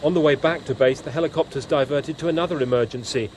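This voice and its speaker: A British male reporter reads a scripted news report in a slightly high voice. There is faint noise behind him. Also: fast.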